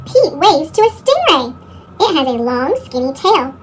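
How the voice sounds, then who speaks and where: A woman's voice: big pitch swings, measured pace, a little hiss or hum. A woman reading children's picture books aloud expressively, her voice sped up with a chipmunk effect. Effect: sped-up chipmunk pitch effect. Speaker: storybook reader.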